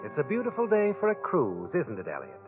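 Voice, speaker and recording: An actor playing a sardonic, all-knowing narrator who taunts in a 1940s radio crime drama. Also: male voice, a little high, huge pitch swings, a little fast. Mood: sly, taunting. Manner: taunting, insinuating second-person storytelling. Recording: tinny old radio broadcast with music.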